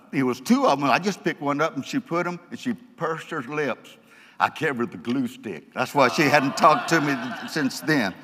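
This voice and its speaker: A man's voice: mid-range voice, a bit bright. Stuttering man telling his testimony in a hall. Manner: folksy, joking storyteller. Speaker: church speaker giving testimony. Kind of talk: testimony talk to a congregation. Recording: long hall reverb.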